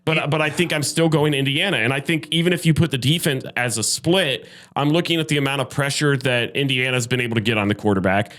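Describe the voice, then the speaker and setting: A man's voice: medium pitch, quick pace, few pauses, a bit bright. A man hosting a sports podcast, debating casually and making his points emphatically with plenty of statistics. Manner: opinionated, emphatic, cites statistics.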